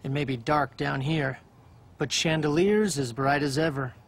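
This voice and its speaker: A man's voice: fairly high pitch, normal speed. A man playing a sullen, easily embarrassed young monster hunter in a dubbed video game cutscene. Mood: wry, brooding.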